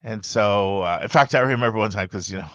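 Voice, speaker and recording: A man hosting a podcast, speaking loudly and emphatically in a casual monologue with frequent asides to the listener. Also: fairly low pitch, brisk, dry recording. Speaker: podcast host and college admissions advisor.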